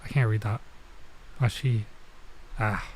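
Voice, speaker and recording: A man's voice: fairly low pitch, steady tone, darkish tone, a little hiss or hum. A man doing a casual let's play, reading visual novel text aloud and commenting as he goes. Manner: halting when sounding out foreign words. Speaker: streamer playing a visual novel.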